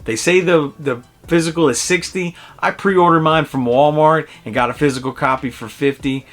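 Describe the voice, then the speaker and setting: A man in his early forties giving a casual, conversational video game review in a halting, reflective way. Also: fairly high pitch, a bit bright, soft background music. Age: early 40s.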